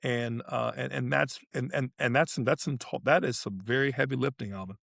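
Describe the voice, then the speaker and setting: A man's voice: mid-range voice, moderate pace, creaky, fairly bright tone, dry recording, studio quality. A man co-hosting a sports podcast, breaking down play in casual talk and repeating phrases for emphasis. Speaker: podcast co-host analysing tennis.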